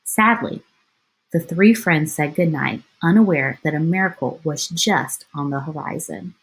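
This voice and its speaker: Low-voiced woman reading to children. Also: measured pace, poor audio. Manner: expressive storytelling for children. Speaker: elementary school teacher reading aloud. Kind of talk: children's picture-book read-aloud with asides.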